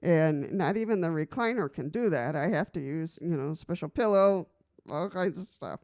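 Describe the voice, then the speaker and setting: A woman's voice: low voice, animated, normal speed, darkish tone. A middle-aged woman from Costa Rica talking casually to camera in a personal vlog, chatty and rambling, with many fillers and frequent laughs. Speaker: vlogger.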